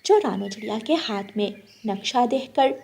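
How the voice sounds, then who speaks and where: A woman's voice: medium pitch, moderate pace, creaky, a little mellow, breathy edge. A woman delivering scripted narration for a children's story.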